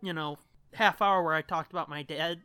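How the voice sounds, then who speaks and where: A man's voice: fairly high pitch, steady tone, moderate pace, smooth, no room sound. A man co-hosting a podcast in casual chat, joking darkly and swearing freely. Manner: irreverent, foul-mouthed, dark joking. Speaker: podcast co-host.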